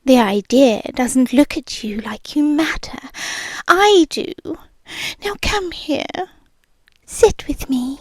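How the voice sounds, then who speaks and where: A woman's voice: high-pitched, sing-song, no echo, heavily acted. A woman playing a whispering possessive British captor. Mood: possessive purring. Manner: soft whispered, slow, purring menace. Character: possessive obsessive rich young woman captor. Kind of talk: ASMR roleplay.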